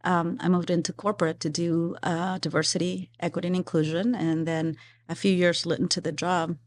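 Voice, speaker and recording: A woman's voice: lowish voice, little pitch movement, a little fast. A woman in her forties from Ecuador, a guest in a casual interview, chatting with frequent fillers and easy laughter. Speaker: guest, philanthropy executive.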